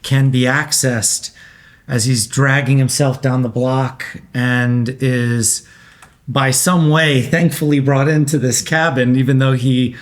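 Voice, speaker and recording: Halting, reflective male actor. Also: medium pitch, fairly even intonation. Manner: halting, searching for words. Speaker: actor in a table read. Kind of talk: casual, reflective discussion.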